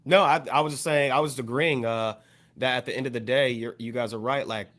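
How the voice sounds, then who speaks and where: An American man taking part in a casual podcast panel, talking in a measured, agreeable way. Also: medium pitch, steady tone, a bit bright.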